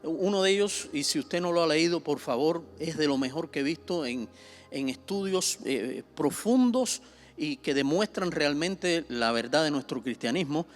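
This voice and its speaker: A man's voice: fairly high pitch, expressive, normal speed, soft background music. A middle-aged Cuban man, a pastor teaching a Bible seminar in a conversational way, with many fillers, repeating himself and pushing up sharply when he stresses a point. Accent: Cuban Spanish. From Cuba.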